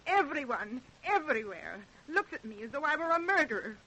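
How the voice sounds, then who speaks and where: An indignant American mother in an old-time radio drama. Her voice is high and bright with a forceful edge. She is defensive and emphatic, in a theatrical vintage style. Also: very animated, fairly quick, full character voice, poor audio. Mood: bitter, defensive.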